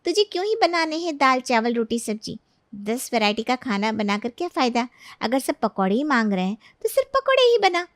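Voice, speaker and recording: A woman's voice: sits high, no echo, clean studio sound. A woman voicing a bossy young female friend in a storybook, handing out cheeky, matter-of-fact advice. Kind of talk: acted Hindi audio storybook dialogue.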